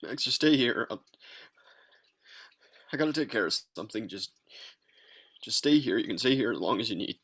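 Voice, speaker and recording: A man playing a hesitant, caring fast-food manager in an amateur table read, speaking haltingly and repeating himself. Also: medium pitch, animated, a bit bright, husky. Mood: reluctant, grave.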